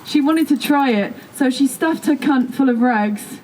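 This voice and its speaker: A woman's voice: high voice, a little slow, a little mellow, a little hiss or hum, rough recording. A woman giving a live performance reading of stories and texts in a theatrical storytelling manner. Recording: music behind the voice. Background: music.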